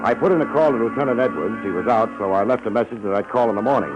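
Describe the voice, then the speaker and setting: A man's voice: on the low side, racing, dull audio, close to an everyday voice. An actor playing a wry, easygoing American private detective in a 1950s radio drama, wisecracking and colloquial as he talks and narrates. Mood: hard-boiled narration. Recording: old radio broadcast recording with music.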